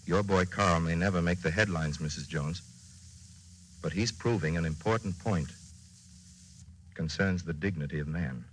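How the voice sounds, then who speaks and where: An actor narrating a solemn, oratorical patriotic radio drama in 1940s style. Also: male voice, sits low, unhurried, pauses often, a bit bright. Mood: tender, solemn. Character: solemn, stirring male narrator of wartime America. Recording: noisy old 1940s radio recording.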